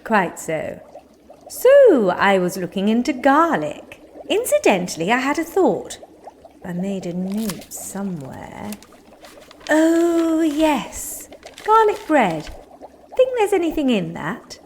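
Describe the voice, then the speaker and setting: A woman's voice: a little high, huge pitch swings, takes it slowly, darkish tone, slight background noise, heavily acted. A woman playing an eccentric, earnest, rambling one-eyed witch-alchemist in a comic audio drama. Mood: eager, musing.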